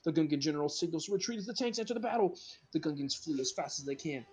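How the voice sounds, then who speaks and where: A man with a slightly high voice reads scene descriptions at a quick clip. He narrates informally, as in an amateur comedy read-through, and sometimes trips over words. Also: lively intonation, darkish tone, husky. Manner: informal, stumbles over words, adds sound effects.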